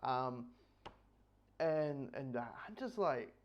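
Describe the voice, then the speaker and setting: A man's voice: mid-range voice, animated, slow pace. A man giving a casual spoken comic book review, rambling and repeating phrases as he goes. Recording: dull, lo-fi audio.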